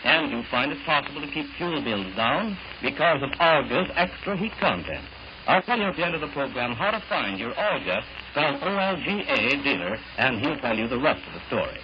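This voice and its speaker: A man's voice: medium pitch, big pitch swings, a little fast. A man working as a 1940s radio announcer, formally explaining a scripted sponsor message to his audience. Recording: dull, noisy 1940s radio recording.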